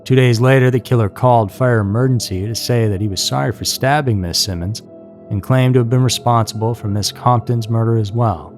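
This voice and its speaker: A man's voice: mid-range voice, a little fast, darkish tone. A man reading scripted true-crime narration as a narrator. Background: music.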